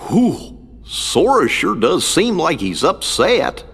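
An actor voicing a goofy male cartoon dog with a slow, dopey drawl. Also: on the high side, sing-song, creaky, fairly bright tone, breathy edge, big-room sound, heavily acted.

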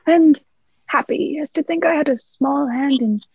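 A woman's voice: sits high, measured pace, gravelly. A young woman playing a sly, wheedling pirate in a radio play, speaking in ingratiating old seafaring dialect. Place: indoor room, remote video recording. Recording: muffled home remote recording.

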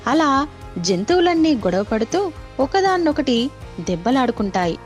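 A woman's voice: high voice, big pitch swings, normal speed. A woman storyteller reading scripted moral animal tales for children. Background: faint music.

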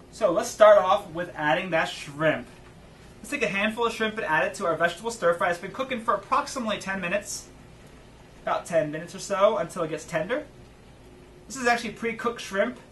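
A man in his thirties hosting an energetic, chatty cooking demo outdoors in his backyard garden. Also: sits high, distant mic, a little hiss or hum. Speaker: cooking show host. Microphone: far camera, outdoors.

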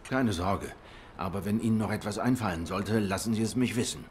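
Actor as a low-voiced noir cat detective. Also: male voice, a little hiss or hum, close to an everyday voice. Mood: calm, probing. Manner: measured, polite questioning. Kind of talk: noir video game dialogue.

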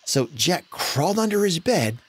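A man narrating a children's story in a dramatic, animated way with exaggerated emphasis. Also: on the high side, moderate pace, breathy edge.

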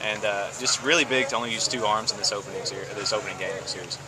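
A young man, a college baseball pitcher, answering postgame questions outdoors at night in an easygoing way, chuckling now and then. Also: fairly even intonation, racing, poor audio. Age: young adult. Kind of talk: postgame interview. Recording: outdoor crowd noise behind.

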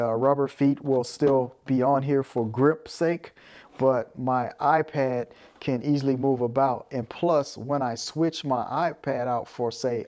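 A man's voice: mid-range voice, dark tone. A man giving a casual hands-on gear walkthrough as a presenter, explaining step by step and often checking in with "right?". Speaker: presenter of an audio gear video. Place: small carpeted room indoors.

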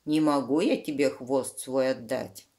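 A woman as a patient storybook fish, unhurried. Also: rumbling low, steady tone, dark tone. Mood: firm. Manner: firm, instructive. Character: practical, patient fish explaining its tail.

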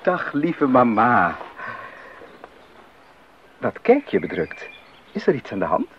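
An actor playing an effusive 18th-century prince in a Dutch radio drama, speaking emphatically in a muffled, noisy old recording. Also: male voice, medium pitch, wildly swooping pitch, slow pace, heavily acted. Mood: cheerful, attentive. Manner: effusive, emphatic, repeats phrases.

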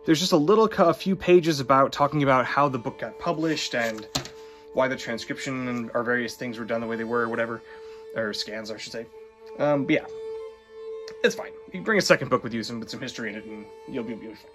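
A man giving a casual spoken book review, relaxed and chatty with lots of ums and little laughs. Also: medium pitch, fairly quick, faint music behind. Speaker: book reviewer and swordsmanship instructor.

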